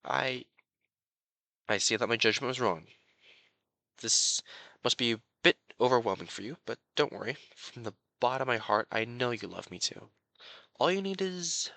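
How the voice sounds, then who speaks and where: Man playing a bright-voiced, possessive haughty prince. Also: mid-range voice, vocal fry, no room sound. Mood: hurt, controlling. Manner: pompous, coaxing, theatrical. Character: possessive, haughty cat-shifter prince, sweetly menacing. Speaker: voice actor in audio roleplay. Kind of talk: dramatic roleplay addressed to the listener.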